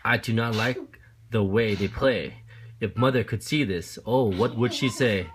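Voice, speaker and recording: A man's voice: on the low side, a little slow, noisy background, natural voice. A man reading as an earnest, determined storybook child. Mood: uneasy. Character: uneasy, determined child telling off a cat. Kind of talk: children's picture-book read-aloud.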